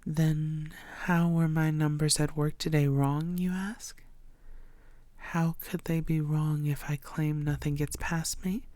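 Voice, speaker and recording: A woman playing a possessive, flirtatious, menacing vampire in an intimate roleplay audio, teasing in breathy half-whispers with slow, deliberate delivery. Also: deep voice, mellow, no echo. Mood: evasive.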